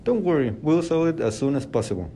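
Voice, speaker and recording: Man playing a dark-toned, calm hunter. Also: a little high, fast talker, slight background noise. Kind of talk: amateur radio drama acting.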